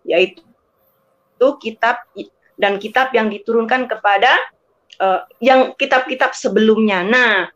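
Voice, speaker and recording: A woman's voice: on the high side, unhurried, pauses often, fairly bright tone, highs cut off. An Indonesian woman teaching scripture conversationally, quoting and translating phrase by phrase and checking for agreement. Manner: explanatory, quotes and translates phrase by phrase, seeks agreement. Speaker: guest explaining scripture.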